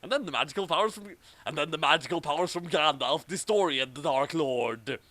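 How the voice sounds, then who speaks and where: Male amateur reader, lively swinging intonation, mock-epic storytelling with wry commentary. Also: a little high, fairly quick, no room sound. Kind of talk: mock-dramatic reading of bad fan fiction with casual asides.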